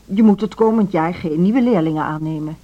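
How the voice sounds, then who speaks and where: A woman's voice: on the low side, a little fast, natural voice. A middle-aged woman acting a dedicated, earnest music school director in a Dutch social radio drama. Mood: earnest, persuasive. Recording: dull, band-limited radio drama recording.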